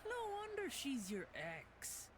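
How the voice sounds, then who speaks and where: A man's voice: very high-pitched, wildly swooping pitch, slow pace, fairly bright tone, full character voice. A man acting a blunt, teasing young buddy in a visual novel, with faint ambience behind him. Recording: faint background noise and ambience.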